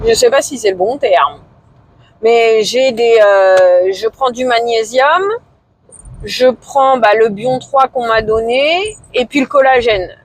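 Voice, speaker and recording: A woman in her forties talking casually to camera in a vlog, hesitant and low-key, with many fillers and pauses. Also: poor audio. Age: 40s. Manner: low-key, somewhat discouraged. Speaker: vlogger.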